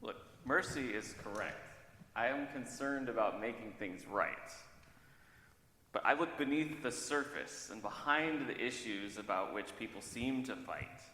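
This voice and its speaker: A man's voice: mid-range voice, unhurried, bright, forceful tone. A man playing Justice, a stern brother demanding accountability, in a dramatized church reading. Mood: firm, insistent. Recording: echoey hall, long reverb.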